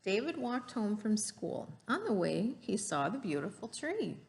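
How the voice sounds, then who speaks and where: Expressive woman reading aloud, slightly creaky voice. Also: mid-range voice, a little slow. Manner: didactic, asks the listener questions. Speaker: teacher reading a picture book aloud. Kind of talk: read-aloud storytelling with explanatory asides to children.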